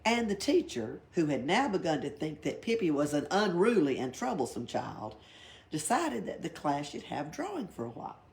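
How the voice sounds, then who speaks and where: A woman's voice: low-pitched, very animated, moderate pace, creaky, darkish tone. A woman reading a children's storybook aloud expressively. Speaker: library read-aloud reader. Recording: background noise, library read-aloud.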